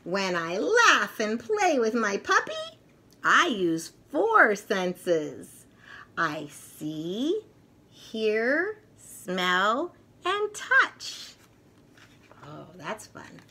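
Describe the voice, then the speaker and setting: A middle-aged woman reads and teaches to young children in an expressive, deliberate, sing-song storytelling manner. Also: mid-range voice, husky. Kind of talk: picture book read-aloud for young children. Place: furnished room at home, indoors.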